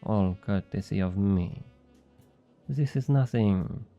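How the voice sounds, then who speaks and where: A man playing a low, dark-voiced flirty French thief. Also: highs cut off, faint music behind. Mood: flirtatious taunting. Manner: teasing, smug, drawn-out coaxing. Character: obnoxiously flirty, smug male thief and information broker. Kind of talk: intimate ASMR roleplay acting.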